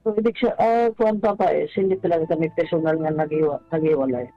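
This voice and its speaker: High-pitched man calling in, halting speech. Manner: halting, stumbles and repeats words. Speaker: caller on a radio advice show. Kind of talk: hesitant personal storytelling. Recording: dull lo-fi call, music behind. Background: background music.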